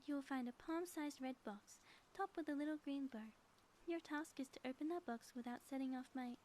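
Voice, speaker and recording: A high, soft-toned supervillainess played by a woman from an ASMR audio roleplay. She is a grandiose schemer who is also a devoted spouse, and she phrases everything with theatrical formality. Faint noise sits behind the voice. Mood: grandiose, teasing.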